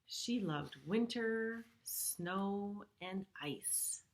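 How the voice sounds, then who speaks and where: A woman's voice: mid-range voice, lively intonation, extremely slow, a little hiss or hum. A middle-aged woman reads a picture book aloud to young children, adding simple explanatory asides. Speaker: preschool storyteller reading aloud.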